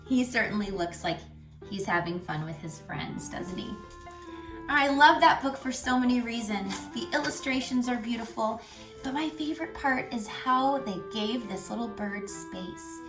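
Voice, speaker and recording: Slow-paced woman storyteller in an echoey room. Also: a little high. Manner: animated, pausing to ask young listeners questions, dropping to hushed tones. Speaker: read-aloud storyteller for children. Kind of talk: expressive picture-book read-aloud for children. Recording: roomy echo, distant mic, music behind.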